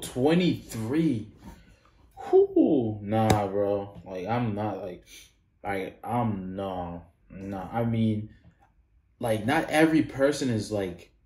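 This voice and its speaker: Rambling man reacting, echoey room. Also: on the low side. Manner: rambling, many "like" fillers, animated when disagreeing. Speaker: reaction video host. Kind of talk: casual reaction commentary. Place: small indoor room.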